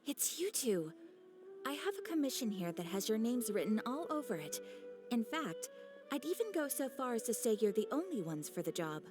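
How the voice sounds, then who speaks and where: A courteous guild receptionist in a fantasy game, with a fairly high voice and lively, swooping intonation. She speaks formally and professionally, over faint music. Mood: pleased. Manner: polite, formal, measured, professional. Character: poised, courteous female adventurers' guild receptionist. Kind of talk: video game voice acting.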